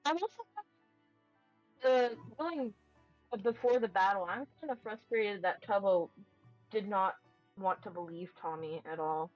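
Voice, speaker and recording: A woman's voice: medium pitch, measured pace, pauses often. A woman player casually musing aloud with friends about a tabletop game, somewhat hesitantly. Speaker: tabletop role-play player. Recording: dull lo-fi mic, music behind.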